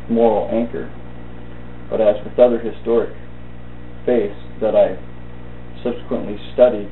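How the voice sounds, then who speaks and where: Man, measured essay reading, halting phrases, echoey room, faint background noise. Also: on the low side. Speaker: reader of a thought-for-the-day video. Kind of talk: read-aloud personal essay, meditation. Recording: tinny band-limited audio, roomy echo, faint hum.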